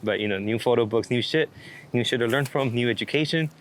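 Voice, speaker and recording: A man's voice: mid-range voice, quick pace. A man talking casually as an interviewed photographer, rambling and enthusiastic, with slangy speech and plenty of swearing. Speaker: street and landscape photographer being interviewed. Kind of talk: casual unscripted interview chat. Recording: clear background noise, outdoor ambience.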